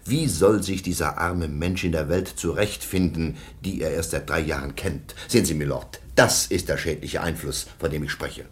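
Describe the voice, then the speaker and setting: An actor playing a stern, proud baron and anxious guardian in a German radio drama, speaking with formal, indignant courtesy. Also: male voice, very deep voice, moderate pace, few pauses.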